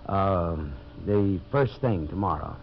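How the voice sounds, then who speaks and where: An actor playing a brash, greedy American lumber boss, pushy and wheedling, in 1950s radio drama style. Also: male voice, low-pitched, lively intonation, slow, gravelly, over loud music, full character voice. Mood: pleading, backing down. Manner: pushy, wheedling, blunt salesman's talk. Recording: muffled, noisy 1950s radio recording.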